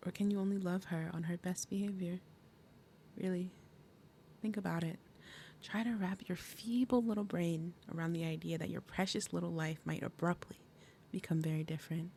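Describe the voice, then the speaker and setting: A woman playing a fierce, protective demon mother and princess of wrath in an ASMR roleplay, with dramatic, breathy, menacing delivery. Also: lowish voice, darkish tone, slight background noise, close to an everyday voice.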